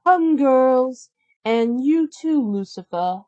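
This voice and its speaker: A woman's voice: sits high, expressive, takes it slowly, mellow, dry recording, muffled recording, pitched above the performer's own voice. A woman voicing a mean, haughty stepmother in a children's storybook, commanding and disdainful. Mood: bossy, scornful. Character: mean, scheming, haughty middle-aged stepmother.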